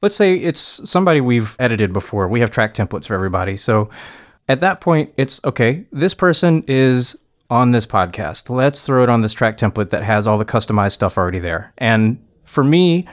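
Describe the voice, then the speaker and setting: An easygoing man explains his audio editing process in casual podcast chat. He is relaxed and a bit self-deprecating, with a middle-of-the-road pitch. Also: normal speed, few pauses.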